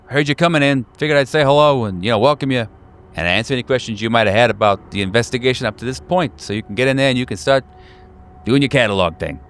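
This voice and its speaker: A man's voice: mid-range voice, fast talker, fairly bright tone, clean tone, close to an everyday voice. A man voicing a jovial, eager-to-please police detective who rambles, repeats himself and chuckles, in a tabletop roleplaying game. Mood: chatty, eager.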